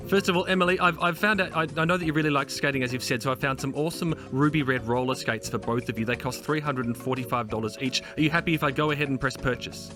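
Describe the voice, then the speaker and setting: A man with an Australian accent hosting a playful chat with guests in a jokey, chirpy manner. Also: medium pitch. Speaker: show host.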